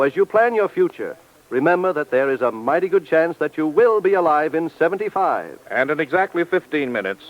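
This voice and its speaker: A man working as a 1940s radio announcer, reading an advertisement formally and directly to the listener. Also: on the high side. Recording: muffled 1940s radio recording.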